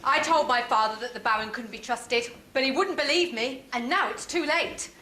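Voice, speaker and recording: A woman's voice: sits high, normal speed, sharp tone. A woman playing an earnest, brave young hero prince, projecting in a stage pantomime. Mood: resolute. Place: theatre stage during a live amateur show. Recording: noisy echoey stage recording.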